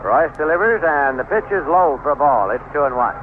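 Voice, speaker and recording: A man calling a baseball game as play-by-play announcer on radio, in a measured delivery with pauses. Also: a little high, expressive. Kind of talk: live radio sports commentary. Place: stadium broadcast booth. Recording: narrowband, noisy old AM radio broadcast.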